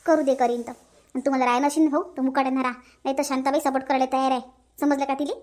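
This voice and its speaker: Comedy cartoon housewife, acted: middle-aged, bossy, very shrill-high pitch, Varhadi Marathi, emphatic scolding. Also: level tone, racing, a little mellow, natural voice. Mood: indignant, bossy. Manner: scolding, emphatic, repeats phrases. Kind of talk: comic family cartoon dialogue.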